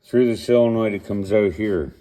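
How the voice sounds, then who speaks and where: A man's voice: fairly low pitch, moderate pace, dark tone, reverberant. A middle-aged man with a sore throat hosting a casual garage repair video, rambling and folksy with lots of fillers, filmed by his son. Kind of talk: casual how-to commentary. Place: home garage. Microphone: camera held by his son.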